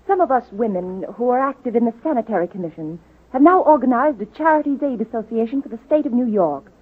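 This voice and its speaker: Casting: resolute charity campaigner, slightly rough husky tone, earnest persuasion, 1940s radio drama on narrow-band recording. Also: female voice, a little high. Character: earnest, determined woman charity reformer. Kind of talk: 1930s-40s radio drama acting. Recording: tinny, muffled old radio recording.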